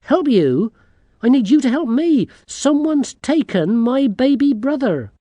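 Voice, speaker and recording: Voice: mid-range voice, unhurried, dark tone, dry recording, pitched above the performer's own voice, lightly acted. A narrator voicing a bold, determined young girl heroine in a storybook, direct and demanding. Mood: exasperated, commanding.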